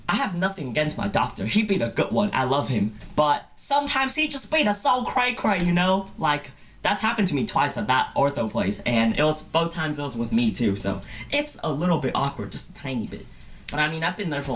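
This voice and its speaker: Young male vlogger, goofy, animated intonation, comedic storytelling, playful exaggerated phrasing. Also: fairly high pitch, a little hiss or hum. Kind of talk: comedic personal storytelling vlog.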